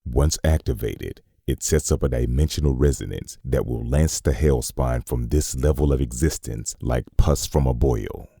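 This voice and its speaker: Extremely deep, gravelly, solemn hunting instructor voiced by a man. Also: moderate pace, hardly any pauses, mellow, dry recording, studio quality, exaggerated voice. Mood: solemn, ominous. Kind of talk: scripted dramatic narration for a comic dub.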